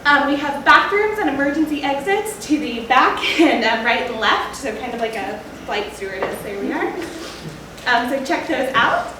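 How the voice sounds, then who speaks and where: A woman's voice: high-pitched, expressive, normal speed. A woman theater director giving a chatty, informal welcome speech to a live audience before a show. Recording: very echoey theater, distant mic, noisy.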